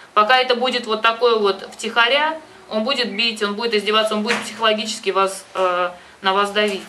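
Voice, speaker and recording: Woman, phone-call advice, emphatic, repetitive, medium pitch, distant microphone, noisy background. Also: pushed and bright. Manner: insistent, emphatic, repeats herself. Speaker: advisor and host of the phone conversation. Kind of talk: phone conversation, giving urgent advice.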